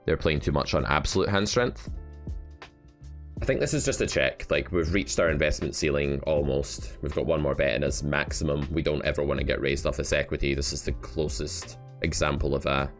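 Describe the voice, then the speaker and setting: A man's voice: a bass voice, steady tone, brisk, soft background music. A man coaching poker with live play-and-explain commentary, talking in a blunt, wry, sardonic way.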